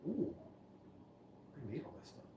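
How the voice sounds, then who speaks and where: A man's voice: measured pace, background noise. A man hosting a live stream, chatting in a rambling, casual way about drinks. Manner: rambling, chatty, many restarts. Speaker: livestream host mixing drinks. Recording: echoey room, voice from far off.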